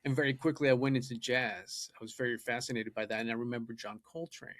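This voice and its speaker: A man's voice: mid-range voice, steady tone, quick pace, fairly bright tone, dry recording, clean studio sound. A man whose first language is Japanese, a podcast guest telling his story in an earnest, eager way. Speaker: guest, data scientist and musician. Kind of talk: podcast interview.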